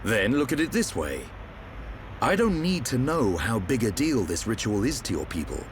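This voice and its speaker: A man's voice: mid-range voice, sing-song, moderate pace, a little hiss or hum, lightly acted. A man playing a seasoned, protective warrior leader in a video game, assured and wry in manner. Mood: persuasive, firm. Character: seasoned, level-headed male war hero, protective leader. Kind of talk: video game cutscene acting. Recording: faint outdoor ambience.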